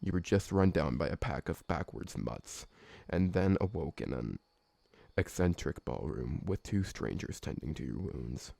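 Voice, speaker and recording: Man playing a very deep, gravelly vampire servant. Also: animated, darkish tone, dry recording, exaggerated voice. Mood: gentle, tentative. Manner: hesitant, halting, deferential. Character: polite male vampire servant, 166 years old, gentle, awkward. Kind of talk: ASMR roleplay audio drama.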